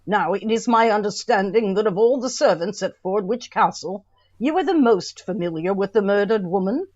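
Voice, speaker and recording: A woman's voice: mid-range voice, expressive, normal speed, darkish tone, lo-fi sound, close to an everyday voice. A woman playing a formal, authoritative coroner questioning at an inquest in a period radio drama. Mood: firm, reassuring.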